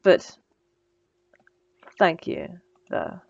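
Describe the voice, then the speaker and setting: A woman playing a bossy, sarcastic supervillain with a soft spot, in a teasing, dry, conversational ASMR roleplay. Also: drawn-out words, no echo, dull audio. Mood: flustered, guarded. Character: female supervillain, bossy and sarcastic, secretly flustered by compliments. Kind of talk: ASMR roleplay audio drama.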